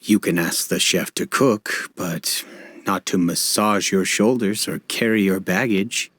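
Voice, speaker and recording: An actor playing an easygoing, wry guard officer in a video game, with a casual, chatty manner. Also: male voice, deep voice, unhurried, a bit bright, no room sound, clean studio sound. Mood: matter-of-fact, explaining. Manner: casual, chatty, jokingly self-deprecating. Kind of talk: video game dialogue acting.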